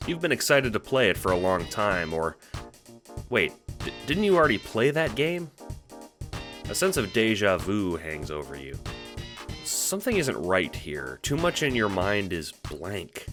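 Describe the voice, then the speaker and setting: A man narrating an audio drama in a scripted, suspenseful style, halting with dramatic pauses. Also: on the low side, sing-song, over music.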